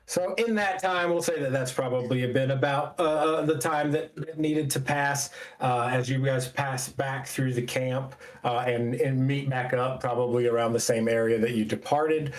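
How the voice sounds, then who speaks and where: Man, game master, mid-range voice, improvised descriptive narration, halting phrasing. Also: mid-range voice, fairly even intonation.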